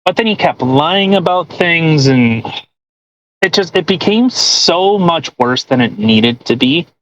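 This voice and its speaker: A man's voice: fairly high pitch, lively intonation, slow, a bit bright, muffled recording, a little hiss or hum. A man hosting a podcast in casual, mocking commentary with crude joking. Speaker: podcast host.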